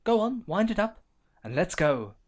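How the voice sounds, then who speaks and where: A woman voicing an expressive guide who speaks directly to a child in an animated story. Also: medium pitch, moderate pace. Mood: curious. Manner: expressive, addressing the listener directly. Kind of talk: scripted children's animation narration.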